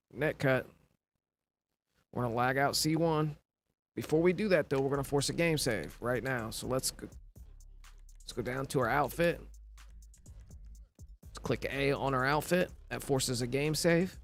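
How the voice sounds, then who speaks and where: Male tutorial voice-over, scratchy and plain, mid pitch, faint music bed. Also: unhurried, pauses often. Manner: instructional, walks through steps plainly. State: scratchy voice from recovering illness. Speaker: gaming tutorial narrator. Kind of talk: casual step-by-step game tutorial voice-over.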